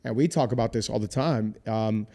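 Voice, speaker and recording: A man's voice: lowish voice, a little fast, gravelly. A man who is a lead pastor, hosting a casual interview, reflective and affirming, asking questions. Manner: warm, reflective, often affirms with "wow". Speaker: lead pastor and podcast host.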